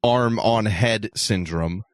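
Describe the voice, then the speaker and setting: A man's voice: lowish voice, slow, dry recording. A man co-hosting a talk show, arguing his opinions assertively in a casual debate style. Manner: assertive, argumentative.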